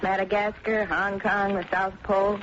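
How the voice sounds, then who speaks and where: A woman's voice: medium pitch, little pitch movement, moderate pace, close to an everyday voice. An actress playing a guarded, dry-witted young small-town woman in old-time radio drama style. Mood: musing. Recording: dull, noisy vintage radio recording.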